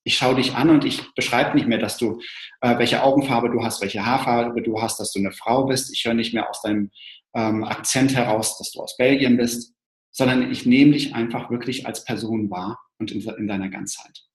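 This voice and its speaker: A man's voice: mid-range voice, fairly even intonation, normal speed. A man explaining ideas thoughtfully, with some restarts. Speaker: co-presenter. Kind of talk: explanatory talk to an online audience. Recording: roomy echo.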